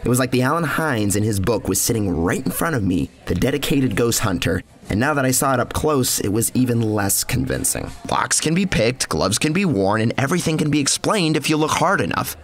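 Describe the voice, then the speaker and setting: A man's voice: mid-range voice, lively intonation, fairly quick, lightly acted. A man playing a skeptical, cocky journalist who narrates a horror story in a sardonic, dramatic storytelling manner. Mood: dry, dismissive. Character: skeptical male journalist, mocking, cocky and probing. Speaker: narrator and lead character of an audio horror story. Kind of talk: scripted first-person horror narration with acted dialogue.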